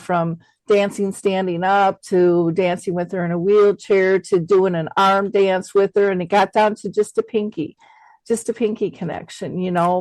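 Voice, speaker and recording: A woman's voice: fairly low pitch, a little mellow, no room sound, low-quality recording. A middle-aged or older woman hosting an interview, reflecting conversationally and often repeating words as she thinks aloud. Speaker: interview host.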